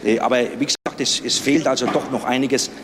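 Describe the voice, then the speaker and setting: A man answering a press interviewer as a senior official, haltingly, with many ers and tag questions. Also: mid-range voice, fairly even intonation, a crowd in the background. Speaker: senior public prosecutor. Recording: noisy location interview with traffic.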